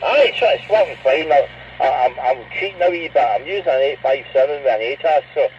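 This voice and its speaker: A man's voice: mid-range voice, lively intonation, brisk. A Scottish man chatting casually as an operator over a CB radio link. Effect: CB radio transmission. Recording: dull, noisy CB radio link.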